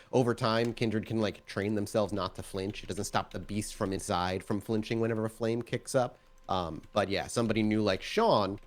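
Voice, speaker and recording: A man working as game master, improvising descriptive, explanatory narration for a tabletop game. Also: low-pitched.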